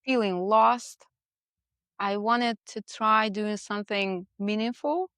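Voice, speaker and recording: A woman's voice: mid-range voice, fairly even intonation, slow pace, fairly bright tone, smooth, no echo. Russian accent woman, podcast guest chatting, takes her time, dry recording. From Moscow, Russia. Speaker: podcast guest, portrait photographer. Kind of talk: casual interview, personal storytelling.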